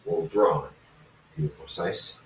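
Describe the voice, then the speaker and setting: A man reading fan fiction aloud as an amateur narrator, halting and often going back to repeat phrases. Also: extremely low pitch. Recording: roomy echo, distant from the mic.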